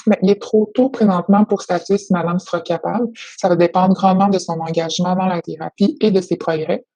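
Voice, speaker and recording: Female clinician. Mellow, slightly raspy, formal and measured, dry recording. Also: lowish voice, fairly even intonation, no echo, lo-fi sound. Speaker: occupational therapist. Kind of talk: professional phone consultation, prepared report.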